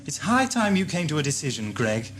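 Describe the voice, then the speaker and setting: A man's voice: on the high side, very animated, fairly quick, a bit bright, a little hiss or hum. A man acting an impatient, eccentric time traveller in an audio drama, dry and emphatic. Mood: exasperated. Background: music.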